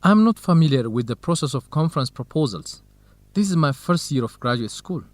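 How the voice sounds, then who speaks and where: A man acting as a cautious, good-natured graduate student in a radio drama. His voice sits slightly high for a man and moves with lively intonation, in a dry studio sound. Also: fairly quick, dry recording, natural voice. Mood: curious, unsure. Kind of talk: scripted educational radio drama dialogue.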